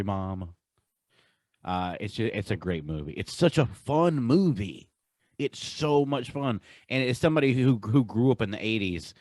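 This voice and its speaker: Man in his forties, slightly deep voice, dry recording, casual, enthusiastic, halting podcast talk. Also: a little mellow, no echo. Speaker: podcast host.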